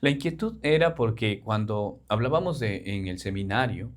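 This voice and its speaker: A man's voice: on the low side, lively intonation, moderate pace. A man from Peru with a Peruvian Spanish accent, a missionary guest in an interview, explaining earnestly with frequent fillers. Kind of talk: interview conversation.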